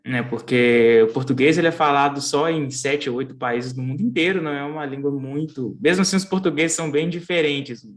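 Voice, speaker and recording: A podcast host with a Brazilian accent asks loose, rambling questions in a relaxed, friendly chat. The voice sits at a middle pitch, and the host often breaks in with short sounds of agreement. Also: a little fast, hardly any pauses. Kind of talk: casual interview chat.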